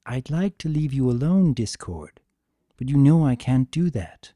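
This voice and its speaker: A regal winged mare from a fantasy storybook, played by a man, raised a little in pitch. She speaks slowly and politely, calm but cautious. The recording is dry. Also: no echo. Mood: calm, wary. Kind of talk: dramatic fan fiction reading.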